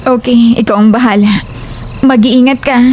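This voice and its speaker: A woman's voice: on the high side, steady tone, normal speed, darkish tone, slight background noise, lightly acted. A woman playing a troubled, determined young daughter in an audio drama, sounding weary and resolute.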